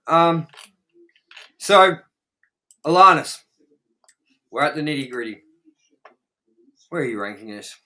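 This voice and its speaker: A man's voice: a little high, animated, slow, pauses often, sharp tone. A man hosting a casual, sweary movie review show, talking in a sarcastic, exasperated way.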